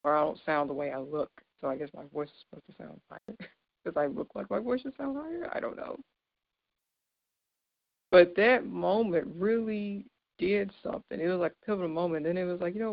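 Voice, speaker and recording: Deep-voiced woman in her 30s, gravelly, chatty earnest sermon, dry dull audio. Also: no echo. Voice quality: deep, low voice. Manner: conversational and earnest, laughs between points. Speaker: speaker giving a devotional talk. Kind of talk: informal devotional sermon.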